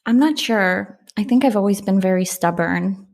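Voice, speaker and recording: A woman's voice: medium pitch, moderate pace, gravelly, darkish tone. Reflective woman guest, lots of restarts. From Poland. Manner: reflective, frequent restarts and "like" fillers. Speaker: startup founder guest. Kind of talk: casual interview conversation.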